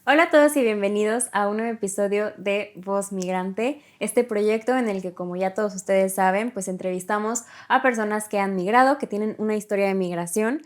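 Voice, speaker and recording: A woman's voice: medium pitch, brisk, few pauses, faint music behind. A woman hosting a podcast interview, friendly and chatty. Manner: friendly, chatty, laughs easily. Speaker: podcast host. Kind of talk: casual conversational interview. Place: recording in Berlin.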